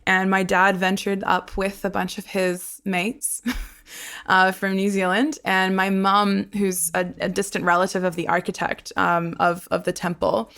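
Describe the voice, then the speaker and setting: A woman's voice: mid-range voice, completely flat delivery, unhurried, fairly bright tone, clean tone. A woman, a podcast host chatting about her family over a video call, laughing often. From Australia. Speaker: podcast host being interviewed. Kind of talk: personal storytelling in conversation.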